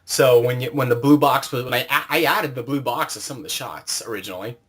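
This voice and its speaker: Mid-pitched man hosting a casual interview. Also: fairly quick, a bit bright, husky. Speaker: interviewer, host.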